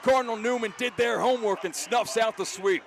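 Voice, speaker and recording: An American man calling live football play-by-play for radio, excitable and given to shouting on big plays. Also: extremely high pitch, fairly quick. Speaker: play-by-play announcer. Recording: clear outdoor stadium background noise.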